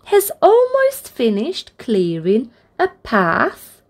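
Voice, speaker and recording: A woman reading a picture book aloud for toddlers, with deliberate, expressive phrasing and encouraging asides. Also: on the high side. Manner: deliberate, expressive phrasing aimed at small children. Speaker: children's storybook reader, channel host.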